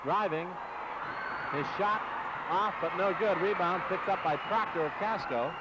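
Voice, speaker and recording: An American man doing live radio basketball play-by-play in clipped, rapid-fire short phrases, on an old AM broadcast. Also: high voice. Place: basketball game in a gym. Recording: muffled noisy 1960s AM radio broadcast.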